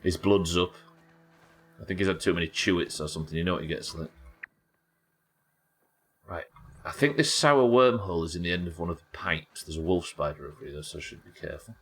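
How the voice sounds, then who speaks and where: A British man with a naturally croaky voice, chatting casually and swearing while streaming a game. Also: sits low, lively intonation, fairly quick, pauses often. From United Kingdom. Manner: chatty, swears, reads chat aloud. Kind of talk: casual gameplay chat.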